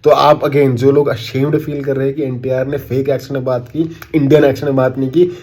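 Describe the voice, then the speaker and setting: A man with an Indian accent delivers an indignant opinion piece straight to camera. His tone stays sarcastic and exasperated throughout, in a middle pitch. He flips between Hindi and English from one phrase to the next. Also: level tone, fairly quick, darkish tone. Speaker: commentator.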